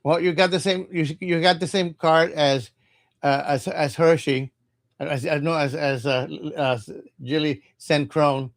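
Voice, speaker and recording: A man from Cuba, reading tarot cards in a halting, casual livestream chat and often repeating his words. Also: on the high side, fairly even intonation. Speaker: tarot reader.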